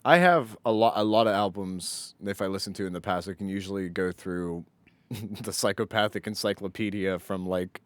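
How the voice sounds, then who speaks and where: Podcast co-host, young male, deep voice, dry close recording, informal slangy banter. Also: moderate pace, no room sound. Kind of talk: casual banter and reminiscing.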